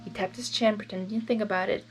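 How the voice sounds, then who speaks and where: A woman's voice: medium pitch, fairly even intonation, fast talker, music in the background, rough recording. A woman narrating fan fiction expressively as an amateur reader, breaking into informal, emotionally involved reactions and stumbling over words now and then.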